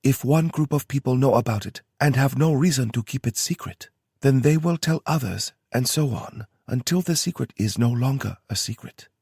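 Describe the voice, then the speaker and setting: A man voicing a calm, polite young woman who is a shapeshifter, speaking measured and kind. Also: mid-range voice, creaky, slightly rough, no echo, studio quality, voice pushed up.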